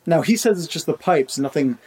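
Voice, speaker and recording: A man's voice: a little high, lively intonation, fairly quick, darkish tone, natural voice. A man playing an anxious, earnest father who has just moved house, in a naturalistic audio drama. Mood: earnest, uneasy. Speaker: narrator and lead character of audio drama. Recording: roomy echo, faint background noise.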